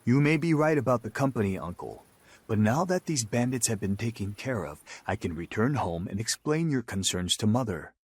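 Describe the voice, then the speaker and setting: An actor with an American accent playing an earnest, principled frontier war veteran in a video game, serious and sincere. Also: male voice, fairly low pitch, very animated, moderate pace, darkish tone, breathy edge. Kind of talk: video game cutscene acting and narration.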